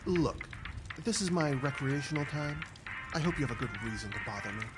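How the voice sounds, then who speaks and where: A man acts out an irritable servant from an animal mystery game. His voice sits at an average pitch and he grumbles as if put upon. Music plays clearly behind him. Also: lively intonation, brisk, close to an everyday voice. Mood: irritated. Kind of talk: scripted game character dialogue.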